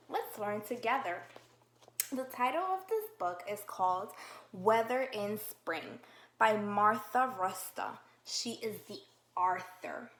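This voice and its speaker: A woman teacher reading a picture book aloud to young children, slow and encouraging, with drawn-out questions to the class. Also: fairly high pitch, slight background noise. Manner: slow, encouraging, exaggerated sing-song questioning. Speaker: kindergarten teacher. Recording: roomy echo.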